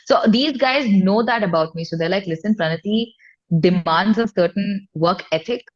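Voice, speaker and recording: A woman's voice: medium pitch, moderate pace, no room sound, dull audio. A woman with an Indian accent chatting candidly and informally as an interview guest, with emphatic phrasing. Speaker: interview guest, indie singer-songwriter. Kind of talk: casual chat.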